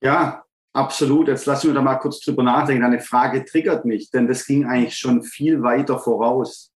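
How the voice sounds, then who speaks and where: A man in his forties with a Swabian accent, speaking earnestly as an interview guest and often pausing to search for words. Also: medium pitch, fairly even intonation, roomy sound. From Swabia, Germany. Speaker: guest, fathers' coach. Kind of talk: reflective interview talk.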